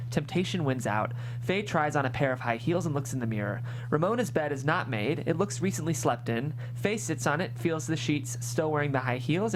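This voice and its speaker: Guy narrating script directions at a table read, really lively intonation, noisy hummy room. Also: fairly high pitch. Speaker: reader of stage directions.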